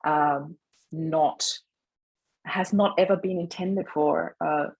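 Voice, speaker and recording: A middle-aged woman speaks as a podcast guest, sharing personal experience in a reflective, emphatic way. Her voice is husky with a gravelly creak, and her pitch stays fairly even as she talks. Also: slow, rough recording. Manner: reflective, emphatic, acts out remembered remarks. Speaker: podcast guest, grief coach. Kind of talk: personal storytelling in an interview.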